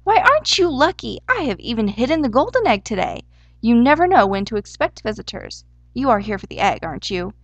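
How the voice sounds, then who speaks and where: A woman's voice: medium pitch, sing-song, a little slow, no room sound, muffled recording. A woman voicing a fussy, eager male storybook hare with a German accent, exclamatory and easily indignant. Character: excitable, fussy male Easter hare wearing pants. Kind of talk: storybook character voice.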